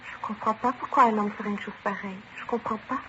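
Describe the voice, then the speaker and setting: An actress playing a frightened, bewildered robbery witness in an old radio drama, distressed and insistent. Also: female voice, mid-range voice, steady tone, racing, natural voice. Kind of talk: 1950s radio drama dialogue. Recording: muffled, noisy old radio broadcast recording.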